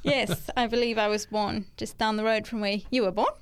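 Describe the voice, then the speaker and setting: A young woman from South Africa with a South African accent, a guest telling her story in an interview with thoughtful pauses. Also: medium pitch, steady tone, clean tone. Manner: thoughtful, hesitant with fillers. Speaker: guest, ministry worker. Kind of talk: conversational interview.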